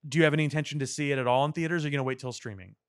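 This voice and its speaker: A man's voice: on the high side, very fast, smooth, dry recording. Wry man in a dry studio. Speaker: podcast co-host. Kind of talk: casual pop culture banter. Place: podcast studio.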